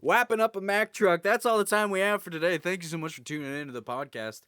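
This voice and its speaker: A man's voice: sits high, quick pace, pushed and bright, clean tone, no room sound, clean studio sound. A young man hosting a podcast with casual banter and a slangy, sweary way of talking. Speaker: podcast host.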